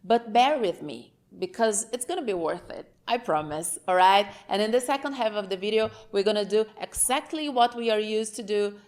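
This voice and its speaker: A woman's voice: mid-range voice, expressive, normal speed, hardly any pauses, a little mellow. A woman from Brazil with a Brazilian Portuguese accent, whose first language is Portuguese, speaking unscripted to camera as the host of her own channel. She talks frankly, wanders a little, and keeps checking in with "okay?".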